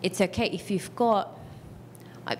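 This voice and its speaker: A woman in her thirties asks a question from the floor, chatty and self-deprecating. She plays out her own reactions in little asides. A faint rumble sits under the recording. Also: unhurried. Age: 30s. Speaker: audience member asking a question.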